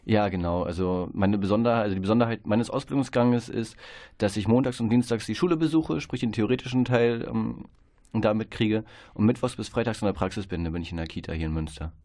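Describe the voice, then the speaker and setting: Relaxed young man, lowish voice. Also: fast, no room sound. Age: 20s. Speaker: trainee educator, guest.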